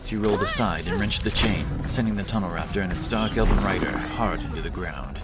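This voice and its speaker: A man's voice: sits low, fairly quick. A man narrating a fantasy story in a dramatic, scripted audiobook style. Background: action music.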